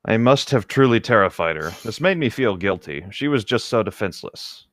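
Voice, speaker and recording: A man's voice: fairly low pitch, animated, fairly quick, no echo, studio quality. A man co-hosting a comedic read-along, reading a book aloud and breaking off into casual joking commentary.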